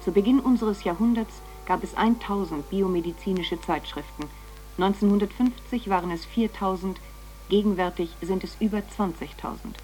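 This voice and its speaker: A woman's voice: medium pitch, moderate pace, mellow, faint music behind, low-quality recording. A woman reading scripted factual narration in a formal style.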